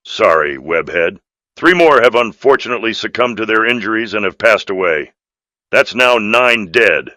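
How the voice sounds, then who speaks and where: A man's voice: on the low side, lively intonation, moderate pace, bright, forceful tone, no echo, studio quality. A man voicing an earnest, dutiful police officer in video game dialogue, firm and official in manner. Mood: grave.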